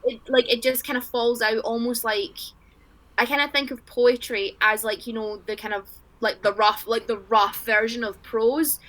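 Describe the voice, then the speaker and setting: A woman guest with a fairly high and sharply bright voice chats casually over a video call. She is candid and self-deprecating, and swears freely as she talks. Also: steady tone, normal speed, rough recording. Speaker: guest, poet. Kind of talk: casual livestream conversation.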